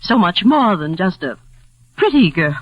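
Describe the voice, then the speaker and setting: A woman's voice: fairly low pitch, very animated, a little slow, muffled recording, noisy background. A woman playing an anguished, pitying middle-aged mother in theatrical 1940s radio drama style. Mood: tearful pity. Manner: theatrical, emotional. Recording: vintage radio broadcast with music.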